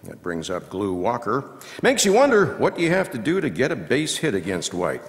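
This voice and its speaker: A man performing as a folksy baseball radio commentator, calling play-by-play in measured, punchy phrases with dramatic pauses. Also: medium pitch, wildly swooping pitch. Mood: keen, focused. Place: live reading in a large reverberant hall. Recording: echoey hall with long reverb.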